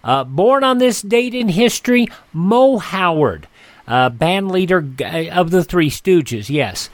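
American man, radio host, fairly high voice, dry close sound, announcer-like history readout, occasional hesitations. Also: very animated, takes it slowly, no room sound. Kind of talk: hosting a classic radio program, reading on-this-day history.

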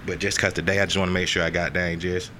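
A man's voice: low-pitched, level tone, fast talker, very noisy. A young man of Dominican heritage co-hosting a casual podcast, talking loose and slangy, breaking into off-key sung snippets. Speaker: podcast co-host.

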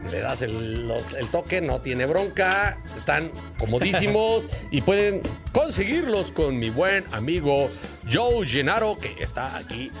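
Brash, animated Mexican man hosting. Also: on the high side, unhurried, music in the background. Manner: brash, slangy, heavy swearing. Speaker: show host. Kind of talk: casual, joking panel banter.

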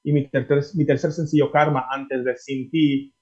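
A Dominican-born singer being interviewed, answering in a chatty way with many fillers and restarts. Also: male voice, medium pitch, little pitch movement, moderate pace, a little mellow, highs cut off. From Dominican Republic. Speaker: singer guest.

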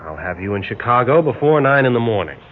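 A man's voice: mid-range voice, very animated, fast, natural voice. A man playing a cynical, hard-bargaining charter pilot in 1960s radio drama, talking bluntly and casually with a sardonic edge. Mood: dry, bargaining. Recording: noisy, tinny old radio recording.

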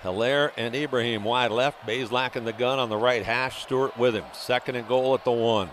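A man giving live radio play-by-play of a football game, excitable and quick to raise his voice for big plays. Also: mid-range voice. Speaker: play-by-play announcer. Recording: noisy live stadium broadcast ambience.

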